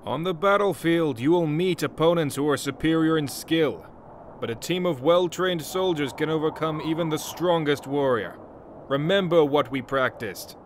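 A man's voice: a little high, normal speed, slight background noise, lightly acted. A man playing an encouraging army drill instructor in a comic dub, authoritative yet friendly. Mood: commanding. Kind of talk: dramatic comic dub acting.

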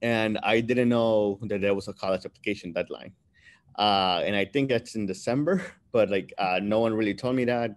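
A man's voice: lowish voice, moderate pace. Laid-back man telling his story. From born in Peru, raised in New Jersey. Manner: relaxed, many fillers, laughs between phrases. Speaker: interview guest, scientist. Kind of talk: casual video-call storytelling. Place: room with bright window behind. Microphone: webcam on video call.

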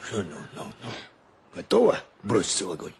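A man's voice: low voice, huge pitch swings, fairly quick, creaky, full character voice. An actor playing a fatherly, well-meaning ship's captain in a radio play, hesitant and often repeating his words. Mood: lecturing, fatherly. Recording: noisy radio drama recording.

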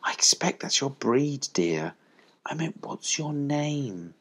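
Man as a motherly collie, lifted pitch. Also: wildly swooping pitch, normal speed, husky, full character voice. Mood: kindly. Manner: maternal, kindly, firm with her young. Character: motherly female collie, brisk but tender.

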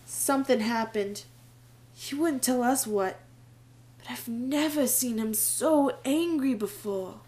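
A young girl passing as a newsboy, earnest and pleading, as a young woman plays her. Her voice sits a little high. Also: takes it slowly, a little mellow.

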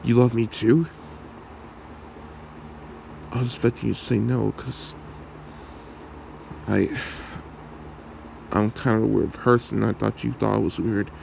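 A man acting a shy, lovestruck young man with a hidden secret in an ASMR roleplay, speaking haltingly and awkwardly in a low, gravelly voice. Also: fairly even intonation, a little mellow, a little hiss or hum, low-quality recording. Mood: earnest, tender.

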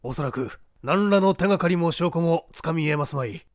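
A man voicing a cunning gentleman thief in an audiobook, speaking politely and assertively while posing as a victim. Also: on the high side, expressive, a little fast, no room sound, clean studio sound, lightly acted. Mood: urgent, assured. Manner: polite, smooth-talking, assertive. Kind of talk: audiobook character acting.

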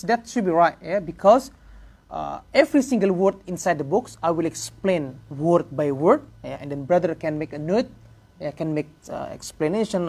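Indonesian-accented man teaching in halting English. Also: a little high, gravelly, darkish tone, breathy edge. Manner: halting English, frequent "yeah" and "uh" fillers. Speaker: religious teacher. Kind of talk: informal lecture to a small class. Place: prayer room, indoors.

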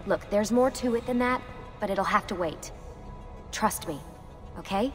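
An actress playing a guarded, earnest young woman assassin in a video game, speaking in a measured way. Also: medium pitch, close to an everyday voice. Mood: grave, persuasive. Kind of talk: video game cutscene acting. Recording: music behind the voice.

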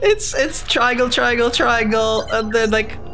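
A woman's voice: on the high side, unhurried, fairly bright tone, soft background music. A woman in her thirties chatting casually as she reacts to a video game. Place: indoors on a couch, video-game sound behind.

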